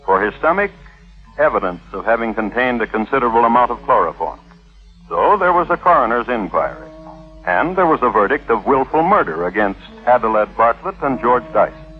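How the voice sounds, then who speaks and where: A muffled old radio recording with music behind a man acting as a solemn crime historian. He narrates drolly and deliberately, with dry theatrical pauses and a very lively, swooping pitch. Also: lightly acted. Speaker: host and narrator.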